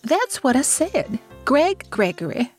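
A woman's voice: high voice, very animated, takes it slowly, soft background music, full character voice. A woman playing a genteel, self-important socialite in an audio drama, gracious in an affected way yet blunt. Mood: airy, curious.

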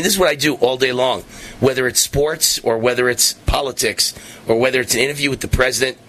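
A middle-aged man hosting a talk radio show, hoarse and losing his voice, rambling and repeating himself with many fillers. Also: medium pitch, pushed and bright, poor audio. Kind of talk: casual monologue on talk radio.

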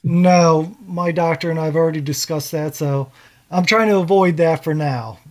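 A man's voice: fairly high pitch, normal speed, darkish tone. A man hosting a podcast, interviewing a guest author in a casual, conversational way.

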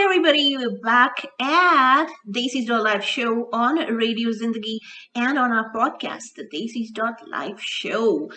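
A woman hosts a radio and podcast interview with a bright, forceful tone, her voice set a little high. She asks her guest questions in an upbeat, eager way. She sounds a bit far from the microphone. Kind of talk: conversational interview. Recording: distant from the mic.

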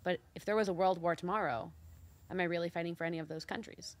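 A woman's voice: fairly low pitch, steady tone, quick pace. A woman from Mexico co-hosting a casual talk show, speaking earnestly and reflectively with many fillers. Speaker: co-host. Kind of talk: casual chat.

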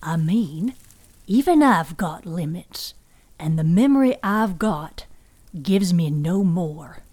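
A woman's voice: fairly low pitch, animated, slow pace. Woman voicing a patient, teasing woodland storykeeper. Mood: wry, reflective. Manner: folksy, patient, gently teasing. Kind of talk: fiction podcast character dialogue.

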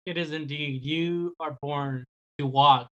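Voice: mid-range voice, fairly even intonation, slow pace, lo-fi sound. Enthusiastic, rambling interview host. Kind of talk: interview hosting.

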